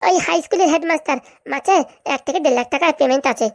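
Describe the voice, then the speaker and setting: Young village matchmaker guy, cartoon, squeaky high, fast chatty patter. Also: creaky. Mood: persuasive. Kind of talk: comic cartoon dialogue.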